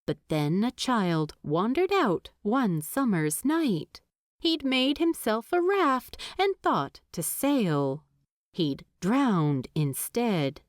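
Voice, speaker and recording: A woman's voice: mid-range voice, no echo, studio quality. A woman reading a story aloud as a scripted audiobook narration.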